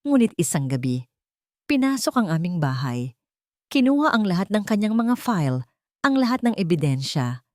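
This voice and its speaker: A woman's voice: mid-range voice, big pitch swings, no echo, clean studio sound, pitched above the performer's own voice, lightly acted. A woman with a Filipino accent voicing a grave, determined man in a dramatic audio story. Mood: bitter. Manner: grave, deliberate. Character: serious, determined man, journalist's son seeking justice.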